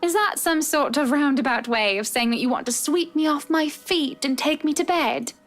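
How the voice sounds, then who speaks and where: Lady doing a high voiced young wife, frail but teasing, gentle, visual novel game. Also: moderate pace, faint music behind.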